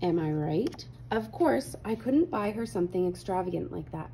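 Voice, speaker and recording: A woman reading a children's picture book aloud with animated, dramatic emphasis. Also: fairly low pitch, moderate pace, creaky, slight background noise, poor audio. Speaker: read-aloud host.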